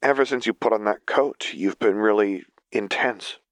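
A man voicing a gentle, caring young woman, soft-spoken and tender, in an audio story. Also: lowish voice, moderate pace, no echo.

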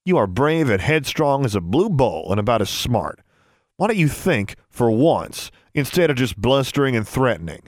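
A man voicing a calm, commanding, sardonic young male wizard in an audiobook, with a patronizing manner. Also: mid-range voice, brisk, dry recording, clean studio sound, lightly acted. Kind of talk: audiobook character voice.

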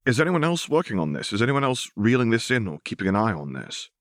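A man's voice: mid-range voice, sing-song, fast, dry recording, studio quality, pitched above the performer's own voice, close to an everyday voice. A man voicing a grim, practical male character with a businesslike manner in an audiobook. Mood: concerned. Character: grim, practical man taking charge of trouble.

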